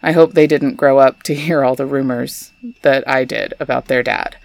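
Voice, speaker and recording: A woman's voice: extremely low pitch, fairly even intonation, moderate pace, creaky, dry recording. A young adult woman from Texas, hosting a scripted storytelling podcast with chatty, wry asides. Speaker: podcast host.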